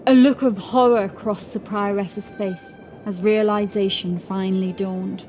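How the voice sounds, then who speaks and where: A woman narrates a horror audiobook at an unhurried pace. Her voice is soft-edged and mellow, with music underneath. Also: mid-range voice, steady tone. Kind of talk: scripted horror fiction narration.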